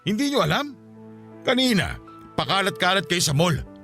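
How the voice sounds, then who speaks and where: A man's voice: sits high, sing-song, normal speed, pushed and bright, faint music behind, full character voice. A man with a Filipino accent playing an irritable married man who scolds and blames his mistresses in a radio drama. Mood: angry, scolding. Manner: exasperated, scolding.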